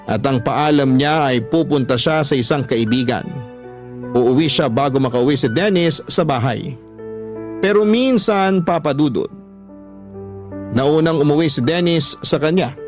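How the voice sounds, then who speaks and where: A man's voice: fairly high pitch, unhurried. A man reading listeners' letters as a dramatic first-person story, as a radio host. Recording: music under the voice.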